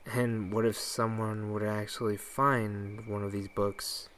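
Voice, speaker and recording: A woman's voice: rumbling low, level tone, slow, slight background noise. A woman playing a thoughtful, questioning young listener in an audio play, speaking earnestly and inquisitively. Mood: curious, probing.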